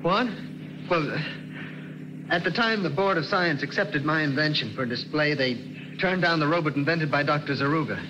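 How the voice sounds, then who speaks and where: A man playing a high-strung inventor and showman in 1940s radio drama style. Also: normal speed, lo-fi sound, natural voice. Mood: weary, troubled. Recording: noisy vintage radio recording.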